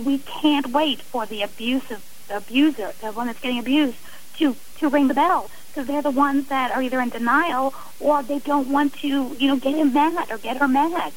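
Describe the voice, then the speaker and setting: A woman's voice: high-pitched, normal speed, slight background noise, rough recording. A woman speaking earnestly and emphatically as a guest on a radio interview.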